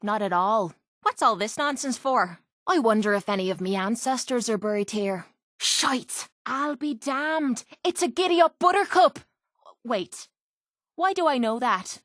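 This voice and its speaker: Blunt, sardonic Irish fighter, dry sound. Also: female voice, on the high side, animated, a little slow, no room sound. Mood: startled. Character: tough, cynical, foul-mouthed young Irish woman fighter. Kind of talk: video game companion voice acting. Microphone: close, dry studio microphone.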